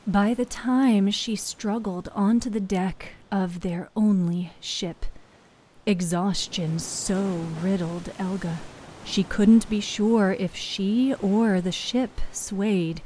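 Slow, mellow woman narrating fiction. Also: medium pitch, steady tone, rough recording. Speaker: storyteller, podcast narrator.

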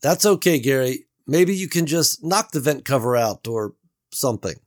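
A man's voice: medium pitch, animated, normal speed, voice pushed up, close to an everyday voice. A man voicing Beverly, a woman locked in a closet who stays patient with a hapless coworker while barely holding in her frustration. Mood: exasperated. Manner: patient but exasperated.

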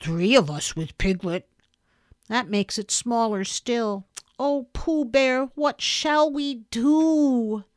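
A woman voicing an eager, caring young boy in a storybook reading, his speech high and earnest. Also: slow, no room sound, studio quality. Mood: worried.

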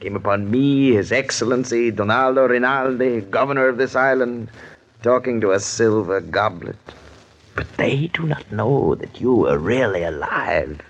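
A man's voice: mid-range voice, dull audio, close to an everyday voice. A man playing a brooding, unhinged governor in a theatrical, musing 1940s radio drama style. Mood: unhinged, confiding. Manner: theatrical, musing, drawn-out delivery. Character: brooding, unhinged governor talking to his silver goblet.

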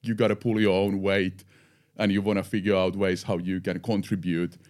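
A middle-aged man with a Finnish accent and a very low voice speaks English as a guest on a podcast interview. He talks reflectively, repeating words and restarting as he goes. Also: level tone, moderate pace, a little mellow. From Finland.

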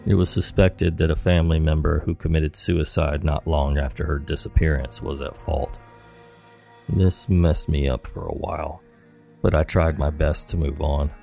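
A man narrating scary stories in a measured, low-key scripted delivery. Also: fairly even intonation, creaky, mellow, soft background music. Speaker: horror story narrator.

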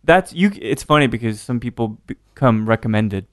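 A man's voice: medium pitch, animated, moderate pace, no echo, studio quality. A man hosting a casual interview, chatty and upbeat, often tagging his sentences with "right?". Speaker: host.

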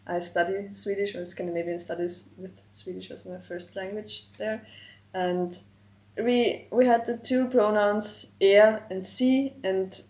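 Slow, careful woman, German native speaker. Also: medium pitch, steady tone, a little mellow, roomy sound. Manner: slow and careful, spelling out word forms. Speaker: guest, language project co-creator. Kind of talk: explaining grammar in a podcast interview.

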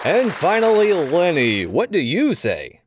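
An actor playing a smug, showy and menacing game show host in an audio drama. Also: male voice, a little high, huge pitch swings, unhurried, faint music behind, a faint crowd. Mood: showy, needling. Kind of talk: theatrical game show hosting.

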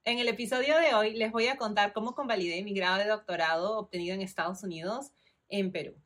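A woman's voice: medium pitch, fast talker, a bit bright. Peruvian woman explaining step by step. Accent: Peruvian Spanish. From Peru. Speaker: podcast host. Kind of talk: informative solo podcast.

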